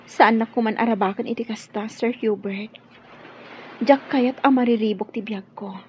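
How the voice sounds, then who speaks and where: An actress plays a modest young Ilocano maid in a radio drama, hesitant and guarded. Her voice is fairly high, on a dull recording with a faint hiss of noise. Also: steady tone, normal speed, natural voice. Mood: timid, pleading.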